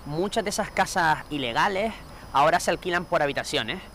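A man's voice: fairly high pitch, animated, a little fast, a bit bright, faint music behind. A man from Gran Canaria, a housing activist giving firm, insistent interview statements.

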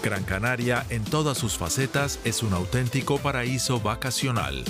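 A man reading a scripted news report as voice-over, in a measured, even manner. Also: medium pitch. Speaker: news narrator. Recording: voice-over over loud music.

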